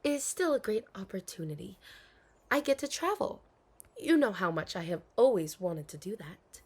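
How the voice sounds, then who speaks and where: A woman's voice: medium pitch, very animated, moderate pace, slightly rough. A woman playing a dutiful, anxious young princess in an intimate audio roleplay, speaking formally with hesitations and sighs. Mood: forced cheer, nervous. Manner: formal storybook diction, hesitant, sighing.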